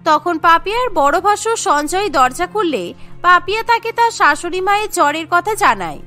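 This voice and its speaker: A woman's voice: extremely high pitch, sing-song, fairly quick, pushed and bright. A woman narrating a scripted cartoon family story. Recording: music bed under the voice.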